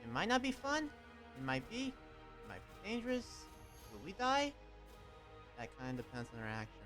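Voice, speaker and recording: Young man roleplaying, halting and filler-heavy. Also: high voice, huge pitch swings, over music. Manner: hesitant, full of fillers and restarts. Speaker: roleplay player and channel host. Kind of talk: in-character roleplay chat.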